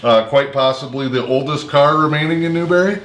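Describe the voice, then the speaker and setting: A man hosting a casual local interview, with halting, hesitant phrasing. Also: a little high, fairly bright tone. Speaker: host and interviewer. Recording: roomy echo, music underneath.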